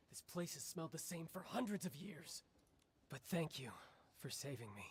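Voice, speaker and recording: A put-upon fantasy game character with a husky, breathy edge. The delivery is weary and full of complaint, at a middling pitch. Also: very animated, moderate pace. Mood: weary, grumbling. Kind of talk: scripted video game voice acting.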